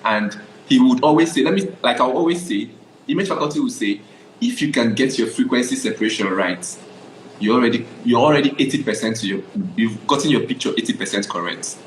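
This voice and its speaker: A man's voice: mid-range voice, expressive, fairly quick, reverberant, a little hiss or hum, poor audio. A young adult Nigerian man, a photographer guest, telling his story in a casual interview, often checking "do you understand?".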